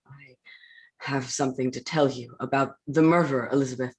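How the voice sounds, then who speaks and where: A woman playing an anguished, stubborn young scientist in a staged gothic reading, intense and halting. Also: rumbling low, steady tone, breathy edge, rough recording. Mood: grieving. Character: Victor, anguished, grief-stricken young scientist, stubborn and wild.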